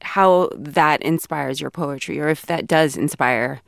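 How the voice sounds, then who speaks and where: A podcast host asking questions in an interview, curious and quick to laugh. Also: mid-range voice, gravelly, dry recording, studio quality. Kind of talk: interview conversation.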